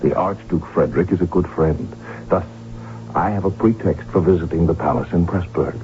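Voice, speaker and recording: An actor in a radio drama playing a lovestruck, determined royal heir who speaks earnestly and formally. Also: male voice, low-pitched, moderate pace, slight background noise, lightly acted. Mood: resolute. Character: lovestruck, determined Habsburg archduke, heir to the throne. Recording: dull vintage radio broadcast with music.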